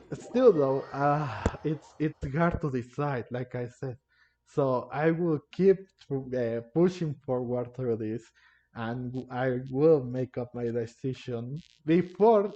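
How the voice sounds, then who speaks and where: A man's voice: a little high, a little mellow, a little hiss or hum. A man giving casual gameplay commentary, thinking aloud hesitantly and often repeating himself. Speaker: gaming video creator. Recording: dull, lo-fi microphone. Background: faint game music.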